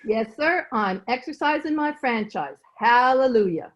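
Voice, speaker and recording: A woman's voice: a little high, animated, measured pace, very noisy. A woman playing a determined, cheerful, folksy housekeeper in an old-time radio comedy, plain-spoken, on dull video-call audio. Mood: proud, determined. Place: recorded remotely over a video call.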